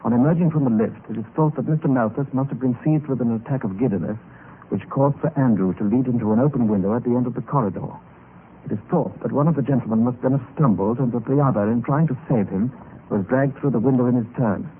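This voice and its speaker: A man acting as a grave gentleman narrator in an old radio mystery, telling the tale in a measured, dramatic way. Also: medium pitch, natural voice. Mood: sober. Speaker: narrator and lead character. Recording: muffled, noisy old radio recording.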